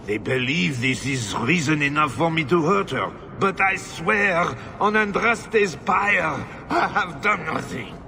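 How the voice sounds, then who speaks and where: A man's voice: on the high side, expressive, takes it slowly, vocal fry, hardly any pauses, bright, forceful tone, a little hiss or hum, exaggerated voice. A man playing a bitter, self-pitying nobleman husband in a video game, defensive and world-weary, sighing as he talks. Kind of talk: dramatic video game dialogue.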